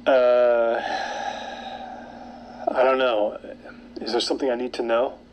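An actor playing an obsessed, brooding man in a naturalistic audio drama, with a hesitant, halting delivery. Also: mid-range voice, flat delivery, muffled recording, a little hiss or hum, lightly acted. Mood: wary, hesitant. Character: obsessed, brooding man seeking supernatural truth.

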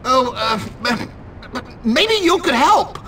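A man's voice: very high voice, big pitch swings, slow pace, fairly bright tone, distant mic, slight background noise, exaggerated voice. A man playing a shifty middle-aged school teacher in a video game, blustering, stammering and evasive. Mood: flustered, evasive. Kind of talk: video game cutscene acting.

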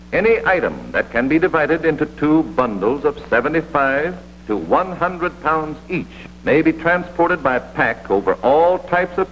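An American man narrating a vintage military training film in a scripted, authoritative instructional manner. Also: on the high side. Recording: dull vintage film soundtrack with hum and hiss.

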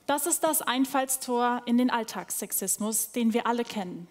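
A young woman opens the evening from the stage, her voice bright and high as it rings through a large hall with long reverb. She speaks conversationally, turning straight to the audience. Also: lively intonation, moderate pace. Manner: conversational, addresses the audience directly. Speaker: event host. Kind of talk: staged opening speech.

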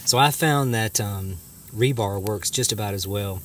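Middle aged guy, lowish voice, halting casual how-to, bit of noise on the handheld cam. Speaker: homestead vlogger. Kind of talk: casual hands-on demonstration. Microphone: handheld camera, speaker behind it. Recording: noisy handheld camera recording.

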